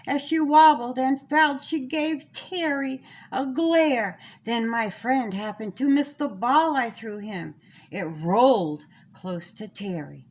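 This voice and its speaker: A woman reading a children's storybook aloud in a deliberate, sing-song rhyming way with dramatic pauses, as the author. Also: sits high.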